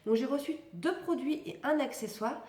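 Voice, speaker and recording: A woman's voice: sits high, moderate pace, a little mellow, echoey room. A young woman with a stuffy nose from a cold, chatting casually and rambling as she tests beauty products in a vlog. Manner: chatty, rambling, self-correcting, laughs often. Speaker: beauty vlogger.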